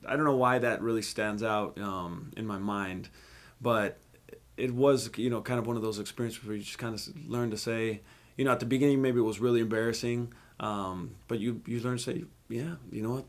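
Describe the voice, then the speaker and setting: A man's voice: mid-range voice, steady tone, brisk. A man from Mexico and California, a guest telling personal stories in a casual, reflective interview style. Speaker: podcast guest, musician.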